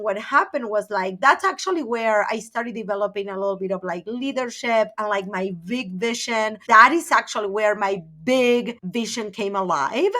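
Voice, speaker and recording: A middle-aged woman from Argentina, a podcast guest telling her career story in a chatty, animated way full of fillers. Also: a little high. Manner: animated, chatty, uses many fillers like "like" and "right?". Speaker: podcast guest, personal branding coach. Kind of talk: conversational interview storytelling.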